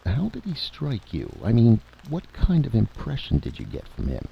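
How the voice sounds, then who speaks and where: A man's voice: medium pitch, wildly swooping pitch, normal speed, creaky, pitched above the performer's own voice, full character voice. A man voicing an informal, probing army general in a radio drama. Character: gruff, folksy, nagged-by-curiosity male army general. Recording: dull, noisy vintage radio recording.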